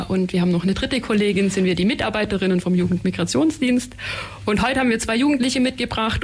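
A woman speaking as a guest in a radio studio, at an even middle pitch. She explains her counseling work in long, flowing stretches, with frequent little fillers. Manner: fluent, explanatory, many fillers. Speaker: youth migration counselor.

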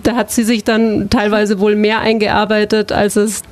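A woman's voice: medium pitch, level tone, moderate pace, dry recording. Woman, venue event organiser, radio guest, articulate, enthusiastic, conversational. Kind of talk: casual radio interview.

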